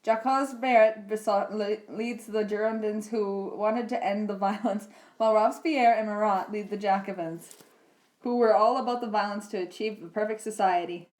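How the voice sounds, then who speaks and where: A woman's voice: mid-range voice, steady tone, moderate pace, darkish tone, low-quality recording. A woman reading scripted history narration as the narrator of a puppet show.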